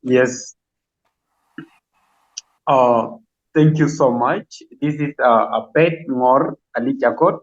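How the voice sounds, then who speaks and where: A man who heads a nursing department, speaking in a measured, appreciative way on a video call. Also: medium pitch. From South Sudan. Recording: tinny phone-like call audio.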